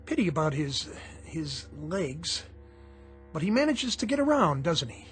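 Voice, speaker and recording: A man's voice: fairly high pitch, big pitch swings, unhurried, fairly bright tone, faint music behind. An actor playing a polite male visitor in a radio drama, making courteous, chatty small talk.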